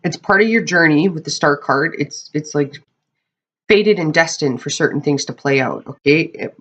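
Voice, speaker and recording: A tarot reader with a hoarse, crackling voice from losing it, giving a casual monthly reading to camera and often checking in with the viewers. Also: medium pitch, moderate pace, fairly bright tone. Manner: chatty, often checks in with "okay?"; clears throat often.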